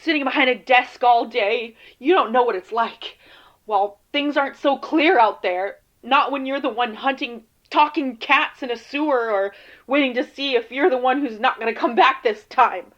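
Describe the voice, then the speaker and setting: A woman playing a weary, defensive field agent in an audio drama interview, speaking haltingly and casually. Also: high voice, fairly bright tone, highs cut off. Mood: bitter, angry.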